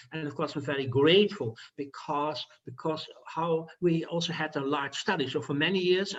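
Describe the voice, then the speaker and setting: An older man with a Dutch accent, a physician giving an online lecture, talking in a halting way with many fillers and restarts. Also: on the high side, fairly bright tone, lo-fi sound. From Netherlands. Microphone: video call.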